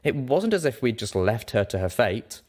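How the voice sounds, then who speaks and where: A man's voice: medium pitch, very animated, moderate pace, darkish tone. A man reading first-person fiction aloud as scripted audiobook narration. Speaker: audiobook reader.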